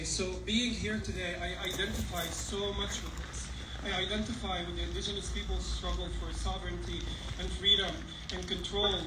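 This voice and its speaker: A man from Palestine giving an impassioned speech at an outdoor rally. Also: high-pitched. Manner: impassioned, rising to forceful. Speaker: rally speaker. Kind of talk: protest speech. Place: outdoor protest. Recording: echoey, distant, noisy outdoor recording.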